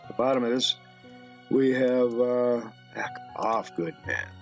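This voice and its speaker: A middle-aged man rambles slowly through his thoughts, halting and musing as he comments on pictures. His voice has a gravelly creak, and faint music plays behind him. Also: medium pitch, steady tone, dull audio. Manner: musing, halting, talks to himself. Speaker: video host. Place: indoor room at a computer.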